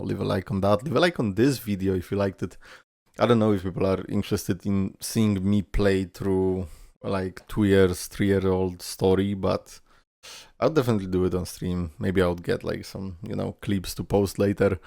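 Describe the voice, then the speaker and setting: A man's voice: sits low, creaky, a little mellow, no room sound, studio quality. A man giving casual, informal reaction commentary as a YouTuber, thinking aloud and swearing mildly. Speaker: YouTuber, streamer.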